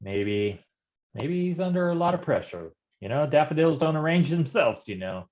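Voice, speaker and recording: A man's voice: fairly high pitch, very animated, normal speed, dull audio. A man playing a bumbling, folksy private detective in a staged noir parody, stammering and easily rattled. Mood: flustered, evasive. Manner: stammering, nervous chuckling, folksy slang.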